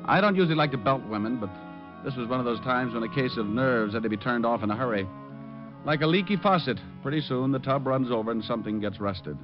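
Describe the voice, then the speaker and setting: An actor playing a suave, tough private detective, flippant and quick-witted, in a 1950s radio drama. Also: male voice, mid-range voice, lively intonation, music in the background, lightly acted. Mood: hard-boiled narration. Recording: muffled vintage radio recording.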